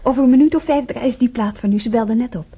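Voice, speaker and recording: An actress playing a young, solicitous hospital nurse with a soft, caring manner in a radio drama, with some noise in the recording. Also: female voice, fairly high pitch, fast, slightly rough. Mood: friendly.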